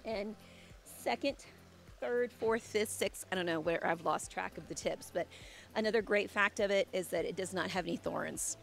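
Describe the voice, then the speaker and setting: Chatty woman with a gravelly, fading voice. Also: medium pitch, moderate pace, faint music behind. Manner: chatty, enthusiastic. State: losing her voice. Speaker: landscape designer. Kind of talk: casual garden walkthrough. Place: outdoor home garden. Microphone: handheld mic.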